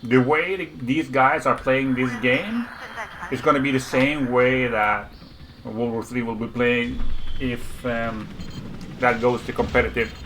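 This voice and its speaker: A man's voice: mid-range voice, unhurried, slight background noise. A man with a Swedish accent, casually chatting with his viewers on a live game stream, with frequent restarts. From Sweden. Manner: relaxed, repeats words and restarts, talks to chat.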